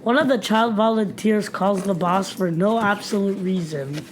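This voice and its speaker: A man's voice: sits high, normal speed, slight background noise. A young man casually narrating a homemade audio story.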